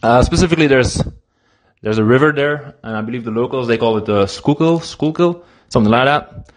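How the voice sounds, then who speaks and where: A man's voice: medium pitch, a little fast. A young man from Belgium, a native Dutch speaker with a Belgian Dutch accent, leaving a casual voice memo for a podcast and talking in an easygoing, hesitant way with fillers.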